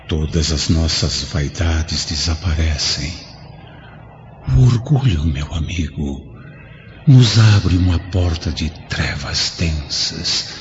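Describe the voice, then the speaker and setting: An actor playing the serene, sorrowful spirit of an old friend, speaking solemn, measured Brazilian Portuguese in a radio drama. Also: male voice, low voice. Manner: solemn, measured, preaching gently. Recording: dull lo-fi radio drama, faint noise.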